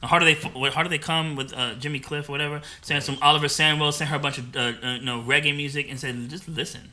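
A man's voice: a little high, steady tone, fast, sharp tone. A man hosting a casual podcast, joking around animatedly and laughing often. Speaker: podcast host.

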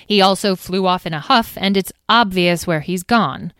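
A woman's voice: lowish voice, moderate pace, fairly bright tone, clean tone, dry recording, studio quality, natural voice. A woman voicing a proud, imperious fairy leader in a storybook who snaps and lectures the others. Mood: lecturing. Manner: snappish and haughty.

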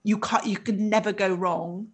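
A woman's voice: medium pitch, fairly even intonation, moderate pace, breathy edge. A woman in her fifties, raised in England after early childhood in Egypt, chatting as a guest in a casual interview, often restarting her sentences. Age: 50s.

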